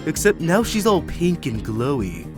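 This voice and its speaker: A man's voice: on the high side, very animated, unhurried. A man voicing a spacey, laid-back male yak witness with a drawling, dreamy way of talking. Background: background music.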